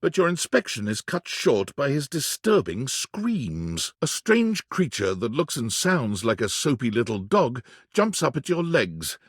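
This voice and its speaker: Narrator for an absurd romance game: deadpan storyteller, slightly rough texture, animated pitch, very dry recording. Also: male voice, a little slow, few pauses, dry recording. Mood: wry, measured. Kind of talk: scripted narration.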